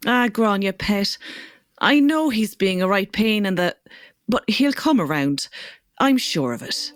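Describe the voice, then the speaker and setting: Woman playing a lively, motherly Irish woman. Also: mid-range voice, measured pace, no room sound, natural voice. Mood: reassuring. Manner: soothing, motherly. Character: kindly, concerned Irish mother figure. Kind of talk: children's audio drama acting.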